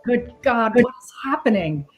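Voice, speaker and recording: A woman's voice: on the high side, animated, normal speed, dull audio, slight background noise, close to an everyday voice. An actress playing an alarmed female political leader pleading urgently.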